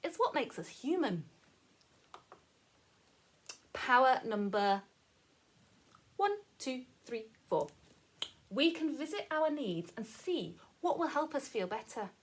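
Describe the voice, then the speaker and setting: A woman teacher reading a children's story aloud with chatty asides, in a gentle, encouraging, sing-song manner. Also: high voice, very animated, slow pace, long pauses, slightly rough.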